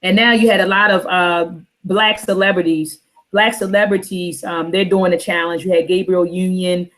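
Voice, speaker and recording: A woman's voice: fairly low pitch, fairly even intonation, normal speed, fairly bright tone, rough recording. A woman hosting a casual online book club chat, rambling and repeating herself. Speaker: host.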